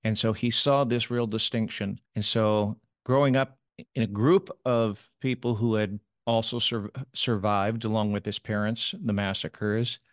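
A man answering interview questions about his late father, speaking in a measured, thoughtful way with occasional pauses. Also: on the low side, steady tone, dry recording, studio quality. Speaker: interview guest, son of the subject.

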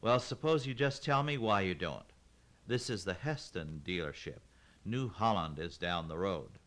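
A man's voice: low voice, expressive, measured pace, a bit bright. A man voicing a young woman, an indignant farm daughter who snaps and makes demands in a read-aloud story. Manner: snapping, demanding.

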